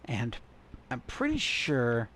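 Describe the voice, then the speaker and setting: A man's voice: mid-range voice, wildly swooping pitch, takes it slowly, fairly bright tone, a little hiss or hum. A man casually commenting while browsing articles and images online, musing and trailing off as he searches. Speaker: gaming content creator.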